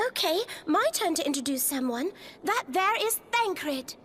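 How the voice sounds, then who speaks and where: An eager, bubbly young female fighter in a fantasy game. Her voice is strikingly high, with a perky and informal delivery. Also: lively intonation, measured pace, a bit bright, a little hiss or hum, full character voice. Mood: cheerful, eager. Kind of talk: scripted fantasy game cutscene acting.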